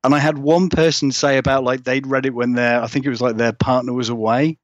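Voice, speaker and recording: A man's voice: medium pitch, fairly even intonation, brisk, no room sound, highs cut off. A man chatting informally as an interview guest, in a rambling, self-deprecating way. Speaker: horror writer, interview guest. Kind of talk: casual interview chat.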